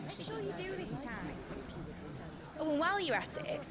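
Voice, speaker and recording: A woman's voice: very high-pitched, lively intonation, moderate pace, crowd noise. An actress playing a spirited young woman in a video game cutscene, teasing, informal and fond, with faint noise behind.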